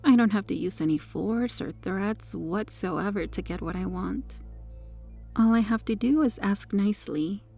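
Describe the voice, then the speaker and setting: Female actor as possessive superheroine kidnapper for ASMR roleplay: dark, relaxed tone with vocal fry, coaxing, sweetly menacing. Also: mid-range voice, close to an everyday voice. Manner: coy, smug, coaxing, lingering over words. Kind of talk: ASMR roleplay, intimate soft delivery.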